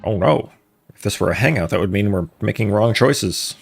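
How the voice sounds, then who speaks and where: Deep, gravelly man streaming a game. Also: lively intonation, normal speed. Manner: wry, musing asides. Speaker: live streamer. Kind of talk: casual game commentary. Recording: game music behind the voice.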